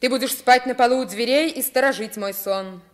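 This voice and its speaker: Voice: medium pitch, brisk, close to an everyday voice. A performer playing a haughty, indignant young boy prince in a 1950s radio play, imperious and solemn. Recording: vintage 1950s radio recording.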